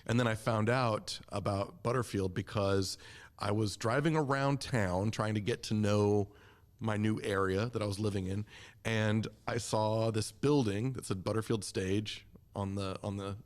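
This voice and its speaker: Low-voiced man in conversation. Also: fairly even intonation, a little slow. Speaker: community theater member, podcast guest. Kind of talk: conversational interview answers.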